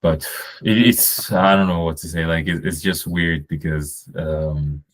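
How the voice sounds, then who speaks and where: Podcast guest, male, very deep voice, French native speaker, meandering casual delivery. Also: fairly even intonation, unhurried. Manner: rambling, many fillers and restarts. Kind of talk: casual conversation.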